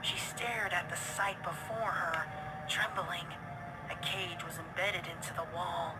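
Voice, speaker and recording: A woman's voice: lowish voice, steady tone, a little slow, loud music behind the voice, low-quality recording. A woman reading scripted horror fiction as a dramatic narrator.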